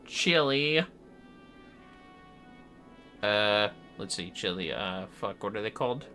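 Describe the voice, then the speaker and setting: Male game streamer, bright forceful voice, casual chat with theatrical flair and cursing, background music. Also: fairly low pitch, expressive, slow pace. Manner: sweary, playfully theatrical. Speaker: livestreaming gamer. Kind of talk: casual livestream gaming chat.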